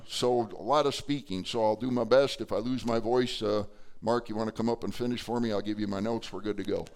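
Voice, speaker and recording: Slightly hoarse man preaching. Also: lowish voice, darkish tone. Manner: conversational preaching with many fillers. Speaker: pastor. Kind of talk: sermon. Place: church sanctuary.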